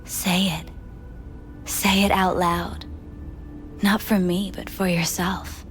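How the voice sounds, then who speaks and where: A woman playing a soft, probing, hypnotic pleasure-doll companion in a video game, speaking intimately and often in a whisper. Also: on the low side, flat delivery, slow pace, soft background music.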